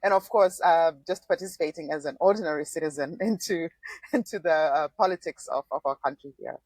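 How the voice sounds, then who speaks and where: A woman's voice: deep voice, fairly quick, darkish tone, breathy edge, no room sound. Zimbabwean-born woman in her forties. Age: 40s. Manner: thoughtful, laughs often, frequent restarts. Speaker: podcast guest, former political candidate. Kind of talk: conversational interview.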